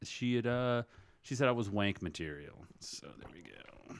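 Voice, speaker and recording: A man on a podcast, chatting casually in a halting way, often trailing off. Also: on the low side, animated, a little hiss or hum. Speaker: podcast producer and co-host. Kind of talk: casual chat.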